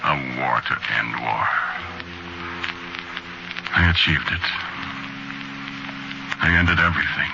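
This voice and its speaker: An actor playing a grave, sorrowful man delivering a solemn, deliberate monologue in a 1940s radio drama. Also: rumbling low, vocal fry, over music, full character voice. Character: grave, sorrowful man mourning his destroyed world. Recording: noisy, muffled 1940s radio broadcast.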